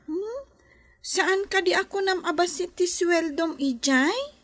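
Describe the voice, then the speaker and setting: A woman's voice: very high voice, expressive, slow pace, fairly bright tone, exaggerated voice. A woman playing a young daughter who pleads and questions her mother in a radio drama. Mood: curious, pleading.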